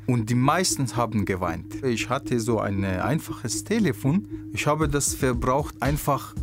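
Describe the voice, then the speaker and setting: A man in his twenties from Afghanistan, speaking German, calmly recalling his past in a testimony and pausing often. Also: medium pitch. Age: 20s. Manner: calm, hesitant, frequent "äh" pauses. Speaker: interviewee. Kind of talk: personal testimony. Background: music.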